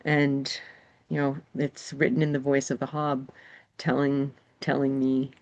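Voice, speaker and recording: A woman's voice: rumbling low, little pitch movement, unhurried, darkish tone. A woman from New England, a guest game designer, telling stories in a rambling, casual way with lots of fillers. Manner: rambling storyteller, many fillers. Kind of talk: casual conversation.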